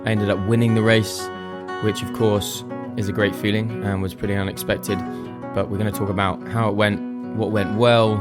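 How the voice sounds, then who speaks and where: A young man hosting his own podcast, a little croaky, chatting casually and thinking aloud in a self-deprecating way. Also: lowish voice, flat delivery, normal speed, over loud music. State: slightly croaky voice. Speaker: podcast host. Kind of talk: casual solo podcast chat.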